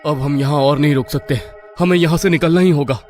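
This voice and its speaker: A man's voice: on the high side, a little mellow, faint music behind, close to an everyday voice. A man voicing a take-charge young husband. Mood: urgent. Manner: takes charge, gives quick orders. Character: resolute young husband Rajesh.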